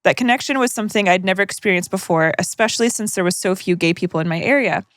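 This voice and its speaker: A woman's voice: mid-range voice, fast, no echo. A young woman co-hosting a chat podcast, reading a listener's letter aloud with joking, profane asides. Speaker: podcast co-host.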